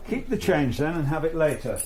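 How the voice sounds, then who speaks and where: A calm, probing stranger. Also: male voice, on the high side, fairly quick, rough recording. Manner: smooth, probing questioning. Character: probing male outsider, persistent and calm. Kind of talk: radio drama acting.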